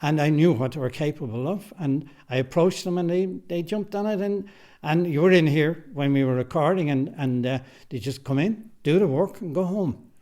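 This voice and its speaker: A man's voice: fairly high pitch, normal speed, mellow. An Irish man who writes and directs radio drama, answering interview questions casually and emphatically, often repeating his phrases, in a recording studio.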